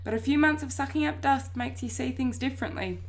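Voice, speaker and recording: A woman's voice: high-pitched, brisk, vocal fry, pitched above the performer's own voice. A woman voicing a world-weary talking vacuum cleaner in a storybook, resigned, knowing and matter-of-fact.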